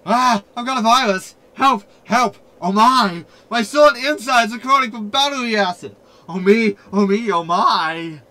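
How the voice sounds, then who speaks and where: A man's voice: sits high, a little slow, bright, forceful tone. A man playing a smug, mischievous hologram in a sci-fi comedy audio drama, glib and mocking. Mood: mock frantic. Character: smug, cheeky male hologram, mischievous con artist. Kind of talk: science fiction sitcom audio drama.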